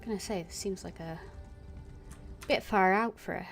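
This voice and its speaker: A woman's voice: mid-range voice, normal speed, creaky, over music. A woman giving casual gameplay commentary as a streamer, musing hesitantly and trailing off as she thinks aloud. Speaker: game streamer.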